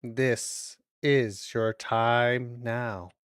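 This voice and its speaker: A streamer talks at an unhurried pace in a very dry recording. The delivery is casual watch-along commentary, moving between deliberate reading aloud and loose, muttered asides. The voice sits at a middling pitch. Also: fairly even intonation, smooth, dry recording. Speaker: streamer reacting to videos.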